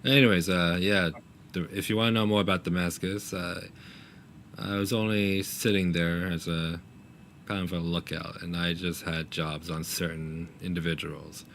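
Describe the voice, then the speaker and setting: A man speaking casually and haltingly as game master in a tabletop roleplaying session, in a low-key manner. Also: flat delivery, a bit bright. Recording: faint background noise.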